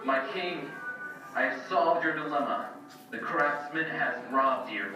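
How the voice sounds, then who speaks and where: A man's voice: a little high, expressive, measured pace, over music. A man playing a boastful, self-absorbed genius inventor in a children's puppet show, theatrical and self-assured. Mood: smug. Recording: echoey room, distant mic, dull, some noise.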